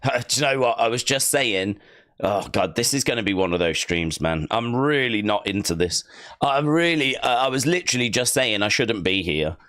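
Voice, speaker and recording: A man's voice: medium pitch, animated, moderate pace, a bit bright, no echo, studio quality. A man hosting a live stream, rambling and repeating himself, his voice tired and weak. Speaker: live streamer hosting a fight watch-along. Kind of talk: casual live-stream chat. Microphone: streaming microphone.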